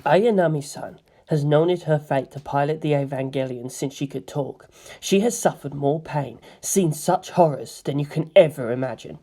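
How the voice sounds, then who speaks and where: A woman voicing a quiet, intense, menacing teenage boy pilot in a fan fiction reading. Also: deep voice, moderate pace. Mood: quietly threatening.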